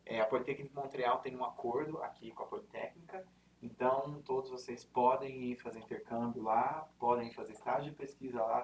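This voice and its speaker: Guy w/ interior sao paulo accent, rolled R, talking casual to students, mic kinda far off w/ faint room hiss. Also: mid-range voice. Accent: interior São Paulo (caipira R). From Brazil, interior of São Paulo state. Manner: chatty and informal, frequent fillers and self-corrections. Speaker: university representative giving a presentation. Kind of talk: informal presentation to students. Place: lecture room.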